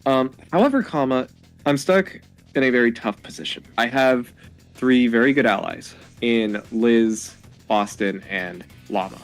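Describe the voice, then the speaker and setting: A man's voice: medium pitch, unhurried, faint music behind. A twenty-one-year-old man talking casually about his game strategy, tired and hesitant, stumbling over his words. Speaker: player in a online game contest.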